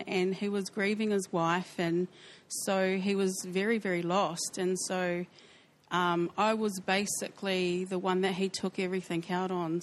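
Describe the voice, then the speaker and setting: Woman, New Zealand accent, soft-spoken, hesitant, church testimony. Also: mid-range voice, slight background noise. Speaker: church member sharing testimony. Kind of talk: personal testimony interview.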